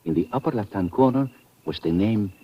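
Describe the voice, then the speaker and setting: Formal, deliberate Latin police officer, Spanish accent, lively intonation, dull vintage radio recording. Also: male voice, fairly low pitch, breathy edge. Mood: measured. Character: formal, probing Latin American police lieutenant. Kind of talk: old-time radio drama acting.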